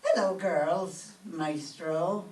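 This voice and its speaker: A woman playing an excitable, sentimental older Irish widowed landlady in an old-time radio comedy, with an Irish accent. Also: fairly low pitch, very slow. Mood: cheery. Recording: echoey room, distant mic, faint noise.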